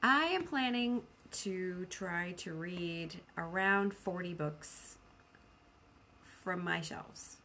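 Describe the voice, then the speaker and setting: A woman's voice: on the low side, very slow, bright, forceful tone, slight background noise. A woman chatting casually as a book vlogger, rambling and self-deprecating, repeating phrases for emphasis and acting out her own thoughts. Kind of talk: casual, rambling video chat about personal plans.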